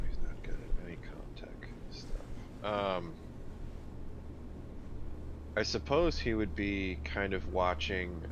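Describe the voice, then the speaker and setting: Slow, deep-voiced man thinking aloud. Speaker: tabletop game player. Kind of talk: casual in-game talk. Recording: dull, noisy room recording.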